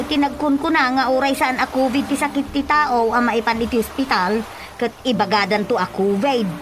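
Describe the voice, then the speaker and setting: An actress playing an excitable, gossipy neighbour woman in an Ilocano radio drama, full of exclamations. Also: on the high side, background noise, natural voice. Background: prominent background music.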